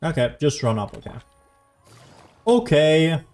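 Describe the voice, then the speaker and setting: A woman's voice: sits low, big pitch swings, unhurried, a little mellow. A woman giving casual, offhand gameplay commentary with a wry, impatient manner. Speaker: gaming streamer. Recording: faint game music behind.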